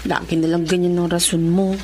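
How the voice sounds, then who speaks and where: A woman's voice: lowish voice, a little fast, lightly acted. A woman acting in a radio drama as a young wife and mother, patient but growing suspicious, with a Filipino accent. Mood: pleading, disappointed. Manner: expressive, emotional. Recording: faint background noise.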